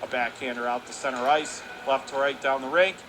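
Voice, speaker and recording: A man doing radio play-by-play of a hockey game, calling fast and rising sharply into excitement on goals. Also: mid-range voice. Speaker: play-by-play announcer. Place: arena broadcast position. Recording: noisy arena broadcast.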